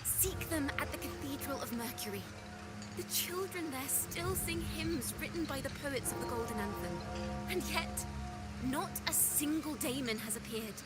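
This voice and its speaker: An actress playing an earnest, defiant young woman poet, speaking in measured, dramatic lines for a video game. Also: high voice, animated. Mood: solemn, dramatic. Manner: declamatory, measured, poised storytelling. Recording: game music under the voice. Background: loud game music.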